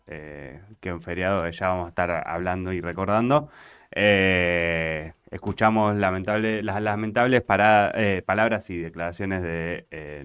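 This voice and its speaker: A man with an Argentine accent co-hosting a radio show in casual chat, speaking haltingly and repeating words. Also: deep voice, a bit bright, clean tone. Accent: Argentine Spanish. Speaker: radio co-host. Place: radio studio.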